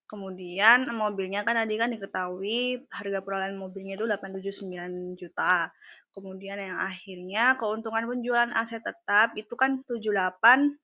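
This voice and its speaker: A woman's voice: mid-range voice, steady tone, moderate pace, bright, forceful tone, dry recording. A young woman, a student, walking through accounting calculations in a class presentation, talking hesitantly with fillers. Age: young adult.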